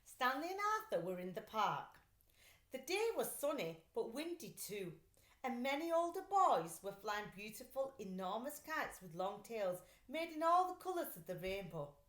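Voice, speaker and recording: A high-pitched woman reads a children's storybook aloud in an echoey room. Her delivery is very lively and dramatic, swinging up and down as she narrates for young listeners. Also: moderate pace. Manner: animated, dramatic character voicing in dialogue. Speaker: teacher reading a children's book aloud. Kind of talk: expressive storybook read-aloud.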